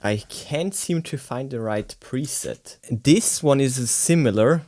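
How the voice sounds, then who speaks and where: A man's voice: mid-range voice, expressive, takes it slowly. A man giving a casual, enthusiastic product demonstration as a tech reviewer, with exclamatory delivery. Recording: music playing behind the voice. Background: synth and guitar music.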